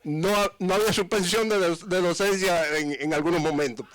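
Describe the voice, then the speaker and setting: A man in his fifties from the Dominican Republic, with a Dominican accent, answering interview questions as a teacher in a calm, measured, good-humored way. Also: high voice, no echo. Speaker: guest, teacher.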